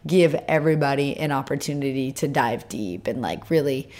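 Low, raspy-voiced woman guest; casual, filler-heavy chat. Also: moderate pace, a little mellow. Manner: rambling, lots of fillers. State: throat scar tissue, tires easily. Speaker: interview guest, retreat leader. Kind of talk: casual conversation.

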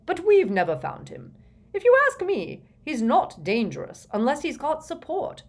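A woman's voice: high voice, wildly swooping pitch, normal speed, voice pushed up, full character voice. A woman voicing a flustered, blustering older male wizard minister in a storybook reading, fretful and emphatic. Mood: brisk.

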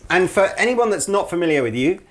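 A man's voice: high voice, very animated, fast. A man hosting a casual podcast interview, asking long, rambling questions full of fillers. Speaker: podcast host.

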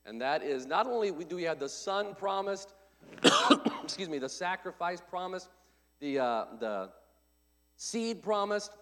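A male pastor with a somewhat high voice for a man, still congested from a cold, preaches in a friendly, conversational way. His words ring out with a long reverb through the church sanctuary. He speaks into a pulpit microphone. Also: expressive, measured pace, pauses often, a bit bright. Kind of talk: sermon with announcements.

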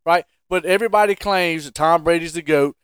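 High-voiced, emphatic American man. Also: a little fast, a bit bright, clear, resonant tone. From Carolina, United States. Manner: emphatic, insistent, rhetorical questioning. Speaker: sports podcast host. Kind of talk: casual sports debate.

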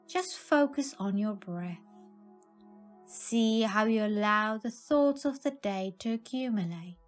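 A woman narrating a scripted guided meditation in a calm, soothing, measured manner. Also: medium pitch.

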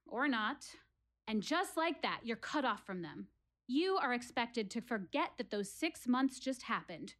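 An actress playing an earnest, wry woman philosophizing to her theater audience in a dramatic one-woman monologue. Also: fairly high pitch. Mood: wistful, wry, then intense, bitter. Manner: dramatic, rhetorical, addressing listeners directly.

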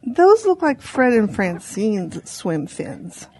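A woman voicing a chatty, excitable female farm animal in a children's audio drama. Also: mid-range voice, slow, a little hiss or hum. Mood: chatty, curious.

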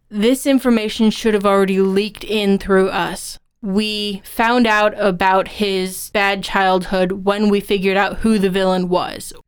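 A woman's voice: mid-range voice, clear, resonant tone. A woman co-hosting a podcast on writing, explaining her opinions conversationally as an author and instructor. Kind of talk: conversational craft discussion.

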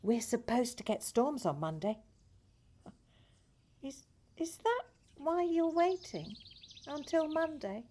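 An actress playing a patient, caring hospice nurse in an audio play, speaking in a gentle, hesitant, reassuring way. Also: female voice, sits high, sing-song, takes it slowly, long pauses, a little hiss or hum, exaggerated voice. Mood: calm, reassuring.

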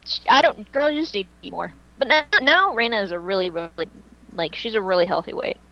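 A woman's voice: medium pitch, expressive, fast talker, gravelly, dull audio. A woman co-hosting a fan podcast, chatting casually in a slangy, emphatic, opinionated way. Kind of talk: casual chat.

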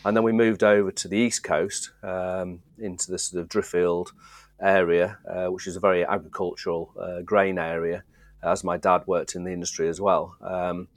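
Middle-aged guest, very low voice, dry close sound, loose rambling delivery. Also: male voice, little pitch movement, moderate pace, no room sound. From Yorkshire, England. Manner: easygoing, rambling, frequent restarts. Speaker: guest, distillery co-founder. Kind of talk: casual interview chat.

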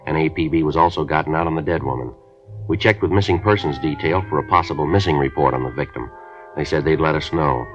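Terse radio cop, booming-low and fast, flat report voice, faint music under it. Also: male voice. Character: terse, matter-of-fact male police sergeant. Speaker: police detective narrator. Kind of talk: 1950s radio drama acting. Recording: dull lo-fi 1950s radio broadcast.

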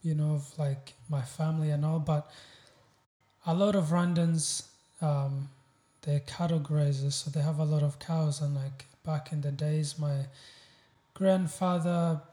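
A man's voice: fairly high pitch, fairly even intonation, a little slow, dark tone. A man with an East African accent, chatting casually as a guest on a podcast. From Uganda. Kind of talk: casual chat. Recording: roomy echo.

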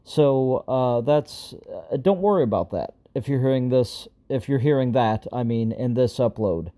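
Rambling man with a strained, raspy voice. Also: mid-range voice, expressive, moderate pace, mellow. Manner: rambling, self-deprecating, many fillers. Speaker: podcast host. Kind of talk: casual solo podcast update.

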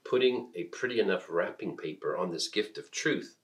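A middle-aged man with a deep voice talks through ideas in conversation. He is reflective and often halts to find the right word, as a minister would in an informal discussion. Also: animated. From Peru. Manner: reflective, halting, searching for words.

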